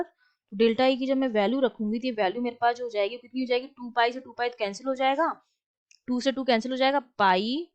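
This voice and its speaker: A woman with an Indian accent teaching physics, explaining step by step, switching between two languages and posing questions she answers herself. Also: a little high. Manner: asks rhetorical questions and answers them herself; mixes Hindi and English. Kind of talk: explanatory lesson, working through a problem step by step.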